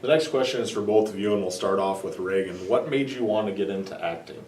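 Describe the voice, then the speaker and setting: A man's voice: on the low side, fast talker, a little mellow, slight background noise. A male interviewer putting formal, prepared questions to guests. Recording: echoey room, distant mic.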